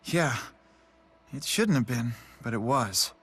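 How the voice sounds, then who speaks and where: A man's voice: mid-range voice, expressive, slow pace, fairly bright tone, husky, full character voice. A man playing a mild, awkward young man in a video game, speaking hesitantly and apologetically. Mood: rueful.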